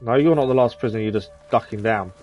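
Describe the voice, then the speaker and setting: A man's voice: fairly low pitch, fast, dull audio, faint music behind. A man in his thirties giving live gameplay commentary, chatting with viewers and muttering at enemies as he plays. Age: 30s. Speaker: streamer.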